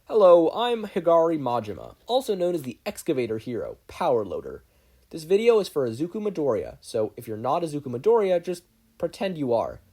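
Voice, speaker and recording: A performer voicing a brisk, dry adult male hero who works as a school examiner. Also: medium pitch, wildly swooping pitch, mellow, husky, voice pushed up, heavily acted. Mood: official, upbeat.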